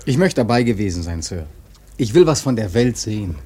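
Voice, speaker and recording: An actor playing an earnest young sailor in a radio play, soft-spoken, polite and respectful. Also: male voice, on the low side, very animated, fairly quick, husky. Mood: eager, polite. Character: eager, polite young sailor seeking a whaling berth. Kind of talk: German radio play acting.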